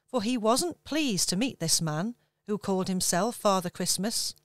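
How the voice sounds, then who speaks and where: Woman narrating a children's story, smooth voice. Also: medium pitch, animated, no room sound, clean studio sound. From England. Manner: gentle, measured storytelling.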